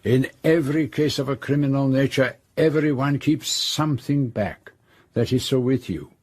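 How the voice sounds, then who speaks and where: A man plays a courteous, shrewd private detective from a radio mystery. His voice is husky and sits at an ordinary pitch. He speaks in a measured, probing way, always polite and never letting go. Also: natural voice. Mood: persistent, shrewd. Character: shrewd, courteous foreign private detective, persistent but gentle. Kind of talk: audio drama dialogue.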